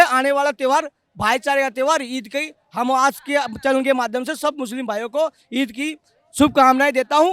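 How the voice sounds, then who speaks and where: Male vox pop interviewee, extremely high-pitched, very little echo. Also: fairly bright tone, studio quality. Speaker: member of the public being interviewed. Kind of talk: street interview opinion.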